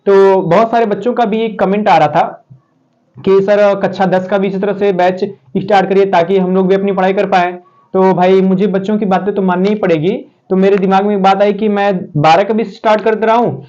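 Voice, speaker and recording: A young man teaching math, his voice sitting noticeably high and slightly hoarse from a sore throat. He talks in a loose, chatty way, repeating points and calling his students friends. The recording is dull and lo-fi. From Uttar Pradesh. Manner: chatty, repetitive, addresses students warmly as "pyare saathiyon". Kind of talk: casual spoken math lesson. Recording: dull lo-fi sound.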